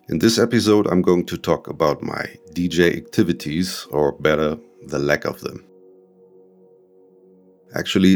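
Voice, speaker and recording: Very deep-voiced man hosting. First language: German. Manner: candid, self-deprecating, swears casually. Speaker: podcast host, music producer. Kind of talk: solo podcast monologue.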